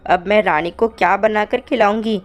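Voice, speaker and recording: A woman voicing a poor, loving village mother and gentle witch in a cartoon story, pleading and reassuring. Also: medium pitch, steady tone, normal speed, dull audio, close to an everyday voice. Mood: worried, pleading. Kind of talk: Hindi cartoon moral-story acting. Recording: music under the voice.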